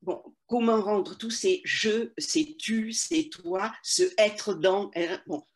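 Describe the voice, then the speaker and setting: An older woman, a literary translator, answering interview questions slowly and thoughtfully, pausing often and restarting phrases. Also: mid-range voice, very animated, gravelly. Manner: slow, measured, many hesitations and restarts.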